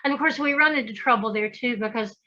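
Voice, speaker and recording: A woman's voice: fairly high pitch, fast talker, sharp tone, dull audio, a little hiss or hum. A woman guest in a casual conversation, talking animatedly and acting out imagined voices as she makes her points. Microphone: video call.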